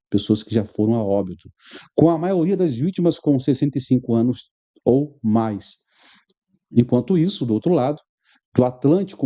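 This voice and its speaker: Brazilian guy from rio, really dark soft-edged voice, reads articles out loud live and talks to the viewers as he goes. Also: mid-range voice. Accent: Brazilian, Rio de Janeiro. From Brazil (Rio de Janeiro). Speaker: host of a religious commentary show. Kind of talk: live commentary, reading news aloud with asides.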